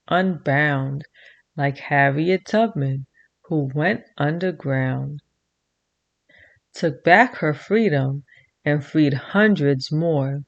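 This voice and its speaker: A woman's voice: sits low, muffled recording. A woman hosting a children's video, reading a rhyming picture book aloud and leading kids in games. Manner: slow rhythmic rhyming read-aloud; bright sing-song teacher voice for kids. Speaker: children's show host.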